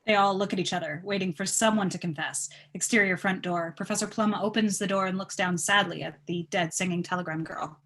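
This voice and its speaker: Woman narrating a script at a table read, medium pitch, just reading out the stage directions. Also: fairly even intonation, fairly quick, few pauses, fairly bright tone.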